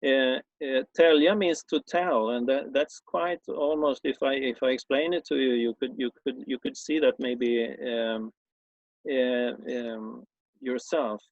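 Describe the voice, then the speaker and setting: A man with a Swedish accent talks in a loose, explanatory way, stopping often to restart his sentences and fill gaps with ums and uhs. His voice sits at an ordinary middle pitch. The recording is dull and lo-fi, with a dry sound and no room echo. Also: steady tone. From Sweden. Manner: hesitant, halting with many fillers and repeated restarts. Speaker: former schoolteacher presenting a talk. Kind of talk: informal explanatory talk on old texts.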